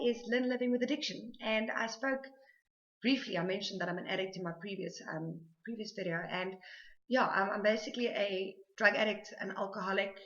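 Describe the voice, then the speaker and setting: A woman's voice: medium pitch, fairly bright tone, dull audio. A South African woman chatting casually to camera for her vlog, rambling with lots of ums and restarts. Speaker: vlogger.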